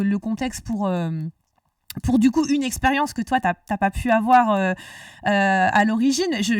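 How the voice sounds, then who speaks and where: A woman's voice: mid-range voice, no echo, studio quality, distant mic. A woman hosting a casual discussion, talkative and rambling, often restarting her sentences. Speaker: podcast host.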